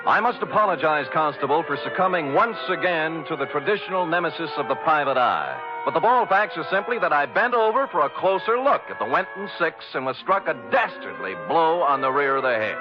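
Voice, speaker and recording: Wry radio private eye, lively pitch. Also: male voice, fairly quick, few pauses. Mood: mock-formal, wry. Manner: wry, mock-formal, deadpan. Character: wry hard-boiled male private detective, glib and self-mocking. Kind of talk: 1950s radio detective narration, scripted. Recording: dull old radio recording with music.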